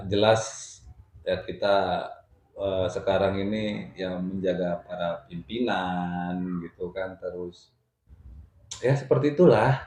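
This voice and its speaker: Low-voiced man in an echoey room. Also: darkish tone. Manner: colloquial, repeats phrases. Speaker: interview guest telling life story. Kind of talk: casual chat, personal storytelling.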